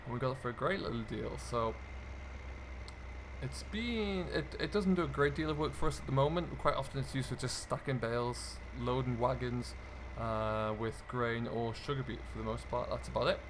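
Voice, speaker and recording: A man's voice: mid-range voice, moderate pace. A British man giving casual, explanatory gameplay commentary, musing aloud as he goes. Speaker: video game streamer, host. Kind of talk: casual commentary over farming simulator role play. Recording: clear engine and vehicle noise behind. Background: game tractor and loader engine sounds.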